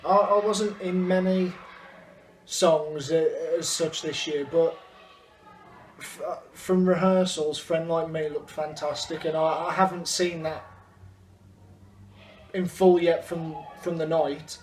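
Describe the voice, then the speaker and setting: A man with a fairly high voice gives a casual interview answer. The room is echoey, with faint noise behind him. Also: steady tone, takes it slowly, distant mic.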